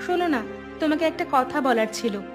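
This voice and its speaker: A woman's voice: high voice, lively intonation, brisk. A woman voicing a concerned village wife in a cartoon drama, questioning and insistent. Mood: concerned, probing. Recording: music clearly behind the voice.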